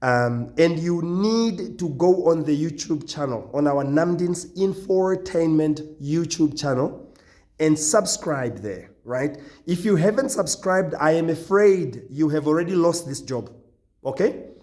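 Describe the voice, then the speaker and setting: A man who founded a company, hoarse from nearly losing his voice, recording a halting, repetitive video announcement to job applicants with stern warnings. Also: on the high side, animated, darkish tone.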